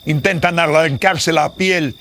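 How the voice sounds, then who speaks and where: A man's voice: on the high side, takes it slowly, fairly bright tone, a little hiss or hum, pitched above the performer's own voice. A man playing a grim, secretive father in a horror radio drama, solemn and theatrical. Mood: grave. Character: grim, secretive father guarding a terrible house.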